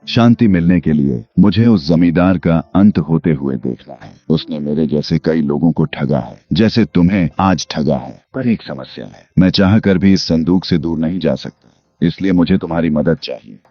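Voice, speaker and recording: A man playing the grave, restless ghost of a murdered man, speaking in a measured way in an animated story. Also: rumbling low. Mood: solemn, urging. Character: murdered man's restless ghost bound to a chest, solemn. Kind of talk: animated Hindi moral story acting. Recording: dull lo-fi audio with music behind.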